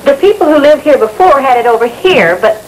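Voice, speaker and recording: Huffy high-pitched housewife. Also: female voice, animated, brisk, a little mellow. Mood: pleased, chatty. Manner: indignant, complaining, haughty. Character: huffy, easily offended housewife, new in town. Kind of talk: vintage training film acting. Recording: noisy old film soundtrack.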